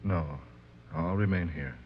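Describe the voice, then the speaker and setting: An actor playing a dry, stubborn, sceptical husband in a radio drama, with sardonic delivery. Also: male voice, low-pitched, a little slow, slight background noise. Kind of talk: old-time radio drama acting. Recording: dull lo-fi radio recording.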